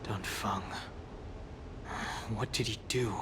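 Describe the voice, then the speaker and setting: An actor playing a grave, reserved warrior in a video game, speaking tersely and seriously in measured phrases. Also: male voice, lowish voice, steady tone, background noise. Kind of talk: restrained video game dialogue. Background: music.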